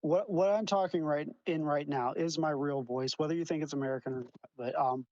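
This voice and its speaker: A man's voice: a little high, steady tone, a little fast, a little mellow, no room sound, dull audio. A man in an online voice chat argument, stammering and restarting as he defends himself. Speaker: debate participant defending himself.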